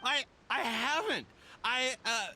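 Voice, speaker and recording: A man's voice: extremely high pitch, animated, slow pace, bright, forceful tone, a little hiss or hum, full character voice. A man playing a shifty, nervous, self-pitying merchant in a video game, stammering and flustered. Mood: panicked.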